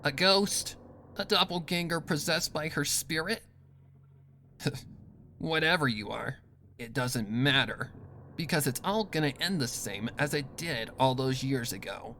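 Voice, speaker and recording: Give a cold, sadistic mare villainess as a narrator puts her on for a dark story reading. She taunts slowly and savours her cruelty with sinister relish. There is faint noise behind the voice. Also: mid-range voice. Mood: mocking, gloating. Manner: taunting, drawn-out, sinister delivery.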